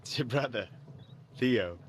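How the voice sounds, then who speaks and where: A man's voice: mid-range voice, expressive, a little slow, a little hiss or hum, lightly acted. A man playing a princess's young brother in an audio game, protective, conspiratorial and a little mischievous. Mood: caring, sly. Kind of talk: audio game drama acting.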